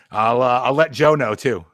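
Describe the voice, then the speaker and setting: Male podcast host, relaxed and loose, mid-range voice, dry recording, laughs a lot. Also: mid-range voice, dry recording. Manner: laid-back, swears casually, laughs often. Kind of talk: casual chat.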